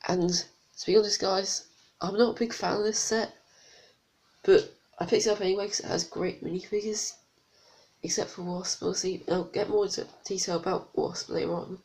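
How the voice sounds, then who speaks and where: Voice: mid-range voice, steady tone, normal speed, a little mellow. A reviewer with a blocked, congested nose, chatting casually through a toy set review with unsure, rambling asides. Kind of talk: casual product review vlog.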